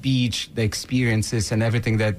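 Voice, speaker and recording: A man's voice: mid-range voice, level tone, a little fast, slight background noise. A middle-aged man from Poland with a Polish accent, a guest on a radio show who speaks earnestly and gratefully, with a lot of fillers. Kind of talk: casual interview talk.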